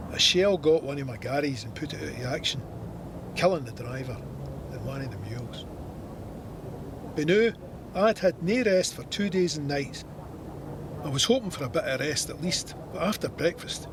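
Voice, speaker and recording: A man's voice: a little high, background noise, close to an everyday voice. An actor with a Scottish accent playing a wry, plain-spoken soldier narrating his war memoir with dry understatement.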